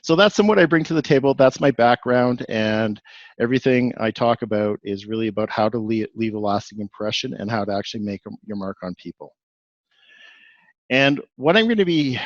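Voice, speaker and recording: A man's voice: mid-range voice, animated, moderate pace, no echo, highs cut off. A man from Canada with a Canadian accent giving a webinar presentation, rambling and restarting with lots of fillers.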